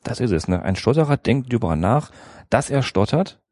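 A man's voice: mid-range voice, lively intonation, fairly quick, darkish tone, no room sound, clean studio sound. A man hosting an interview, talking in a conversational and reflective way and asking leading questions. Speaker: podcast host.

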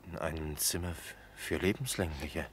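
A man's voice: very deep voice, normal speed, husky. An actor playing a middle-aged salesman in a German radio play, a jovial but uneasy guest who is easily spooked.

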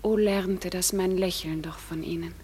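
A woman's voice: lowish voice, fairly even intonation, moderate pace, warm tone, lightly acted. An actress playing a lovelorn, envious young woman who declaims plaintive verse in a classical radio drama. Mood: envious, pleading. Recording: vintage radio play, long reverb, music behind.

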